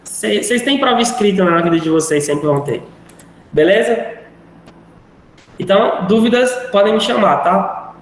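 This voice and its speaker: A man's voice: sits high, expressive, normal speed. A male teacher wrapping up a live online class in a chatty, rambling way. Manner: rambling, chatty, self-deprecating. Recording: very echoey room, faint noise.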